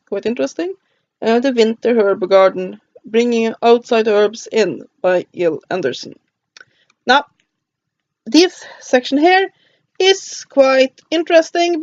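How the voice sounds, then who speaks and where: A woman with a Norwegian accent reviews a book, reading through its contents in a halting, casual way with many pauses and stumbles over names. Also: mid-range voice, darkish tone, no echo, muffled recording.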